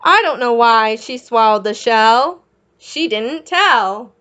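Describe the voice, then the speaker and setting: A woman reading a picture book aloud as a children's teacher, encouraging young listeners with questions and pausing for their answers. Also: fairly high pitch, expressive, pushed and bright.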